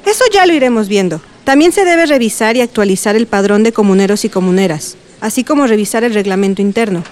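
A woman playing a composed professional lawyer who explains things formally and in measured terms in a radio drama. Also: mid-range voice, lively intonation, darkish tone, close to an everyday voice. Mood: measured, advising.

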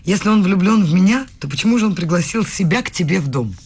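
A young woman student in a radio play, earnest and a little bossy. Her voice is husky and breathy, her delivery brisk and insistent. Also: lowish voice, animated, darkish tone. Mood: scolding, anxious. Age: young adult.

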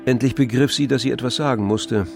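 A man narrating a literary radio drama in a measured, composed storytelling manner. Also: mid-range voice, creaky, music in the background. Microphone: close, dry studio microphone.

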